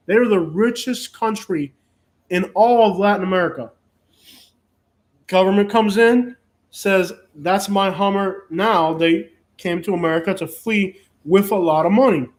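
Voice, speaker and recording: A man from Cuba, hosting a casual live chat and rambling with frequent restarts and fillers. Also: high voice. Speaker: host.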